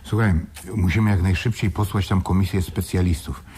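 Decisive, wary radio drama businessman: low, very hoarse-edged voice, brisk and insistent. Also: fairly even intonation, a little mellow. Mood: suspicious, urgent. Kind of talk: Polish radio play acting.

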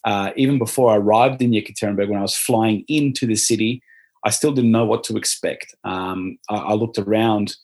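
A man with an Australian accent and a somewhat low voice tells his story in a loose, upbeat way. He talks casually, circling back with fillers and restarts. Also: steady tone, fairly quick, a little mellow. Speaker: interviewee, former volunteer. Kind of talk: casual conversational interview.

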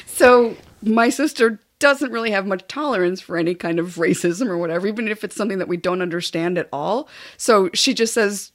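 A woman's voice: medium pitch, fairly quick, few pauses. A young adult woman from New Jersey telling a comedic family story, acting out quotes and laughing often. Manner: animated, laughing, acts out quotes. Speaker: guest storyteller.

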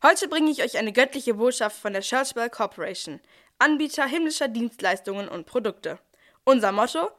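A chatty, cheeky archangel , played by a woman, speaks in a fairly high voice. The figure presents a nativity radio play like a confident heavenly messenger with something to sell. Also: fairly bright tone. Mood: upbeat, promotional.

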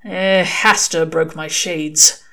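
A woman's voice: deep voice, fairly even intonation, takes it slowly, bright, forceful tone, exaggerated voice. A woman voicing a sardonic, brusque male demon in an audiobook, with offhand, slangy, muttering delivery. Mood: weary, offhand. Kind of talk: audiobook character dialogue.

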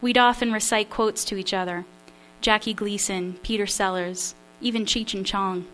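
A woman's voice: mid-range voice, steady tone, normal speed, a bit bright, slight background noise, low-quality recording. Nervous woman reading her memoir. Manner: nervous, self-deprecating. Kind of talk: memoir reading at a literary event.

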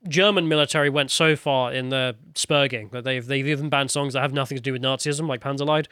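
A man's voice: medium pitch, steady tone, fast, bright, forceful tone, clean tone, dry recording, clean studio sound. A man co-hosting a podcast, talking casually, laughing easily and reading viewer comments aloud. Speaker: podcast co-host.

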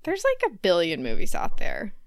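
Female co-host, medium pitch with very animated delivery, casual comedic chat, dry sound. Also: moderate pace, dry recording. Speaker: podcast co-host. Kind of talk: casual comedy banter, reading joke news items.

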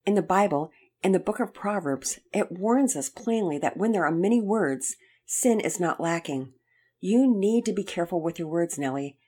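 A woman voicing a calm, firm storybook father who gently admonishes in a measured, plain-spoken way. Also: on the low side.

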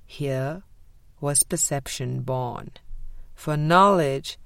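A woman narrating a spiritual audiobook in a measured, deliberate way with frequent pauses. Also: deep voice, creaky, fairly bright tone, clear, resonant tone, no echo. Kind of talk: scripted spiritual book reading.

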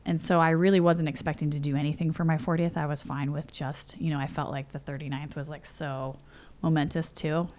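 A woman's voice: low-pitched, flat delivery, fairly quick, few pauses, darkish tone. A woman in her forties from California, telling personal stories in a chatty interview full of "you know" and "like". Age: 40s. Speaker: interview guest. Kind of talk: casual storytelling. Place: home.

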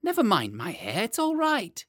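A man's voice: sits high, wildly swooping pitch, moderate pace, a bit bright, no echo, clean studio sound, voice pushed up, full character voice. A man voicing a polite, fidgety, innocent little boy in a storybook tale. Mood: whiny, protesting.

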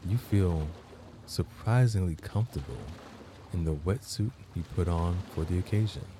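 A man hosting a guided meditation, narrating in measured, deliberate phrases with long pauses. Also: low voice, background noise. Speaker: guided meditation podcast host.

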